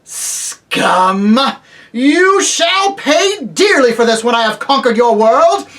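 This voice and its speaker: A woman voicing a fierce, proud witch queen who bellows slow, ringing threats. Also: fairly high pitch, sing-song, fairly bright tone, voice pushed up, full character voice. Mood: furious.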